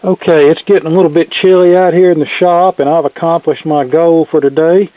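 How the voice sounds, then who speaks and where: A man's voice: a little high, steady tone, fairly quick, dry recording. A middle-aged man casually talking through a hands-on repair in a plainspoken, folksy way. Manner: plainspoken, folksy turns of phrase. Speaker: hobbyist electronics repairman presenting a video. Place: workshop. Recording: phone-like narrow, tinny sound, close and dry.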